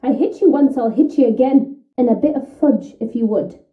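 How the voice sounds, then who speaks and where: A woman's voice: on the high side, lively intonation, normal speed, natural voice. An actress playing a feisty, surly, demanding woman in a video game, blunt and combative, on a muffled recording. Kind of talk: video game character dialogue. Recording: muffled, phone-like, echoey.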